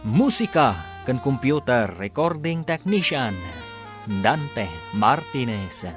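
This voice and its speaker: A man announcing a radio drama in a measured, formal manner. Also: mid-range voice, very animated, music in the background. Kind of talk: scripted broadcast announcing.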